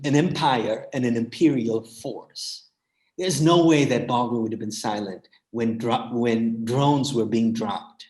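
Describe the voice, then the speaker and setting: A man's voice: mid-range voice, a little mellow, echoey room. A man speaking as a guest scholar in a panel talk, making emphatic, thoughtful points and often checking them with a short tag question.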